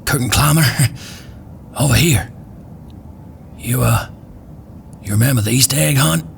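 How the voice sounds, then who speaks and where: An actor playing a rough but caring man with a casual, hesitant way of talking in an audio drama. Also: mid-range voice, a little slow, noisy background. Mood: coaxing, concerned.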